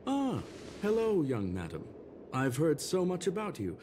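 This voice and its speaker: A man voicing a formal, polite and precise scholarly robot gentleman in a video game. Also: a little high, wildly swooping pitch, measured pace, slight background noise. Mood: courteous, curious.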